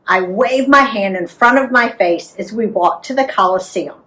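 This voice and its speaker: An American woman narrating a travel memoir as an author reading aloud, in an expressive, deliberate storytelling manner. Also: medium pitch, rough recording. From United States.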